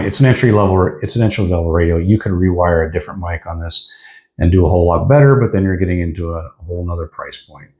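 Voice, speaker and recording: A man with a very low, relaxed voice talks through a piece of gear as a reviewer. His delivery is casual and explanatory, like an informal hands-on demonstration. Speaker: product reviewer.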